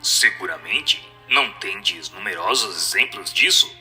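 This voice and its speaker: Guy doing a wise solemn spirit, deep voice, teaches slowly and surely, radio play, soft music behind. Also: lively intonation, heavily acted. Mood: solemn, assured. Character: solemn, assured male spirit answering questions with authority. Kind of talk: dramatized scripted reading.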